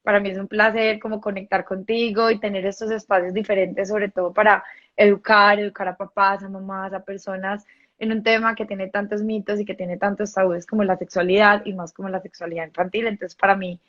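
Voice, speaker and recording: A woman's voice: mid-range voice, flat delivery, a little fast, fairly bright tone, lo-fi sound. A woman from Colombia with a paisa accent and a slight Mexican touch, a sexologist explaining her work at length as a guest in an online live interview.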